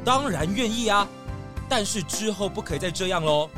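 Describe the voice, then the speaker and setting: A woman's voice: medium pitch, lively intonation, normal speed, music in the background, natural voice. A woman voicing an encouraging, forgiving young animal athlete in a children's audio drama.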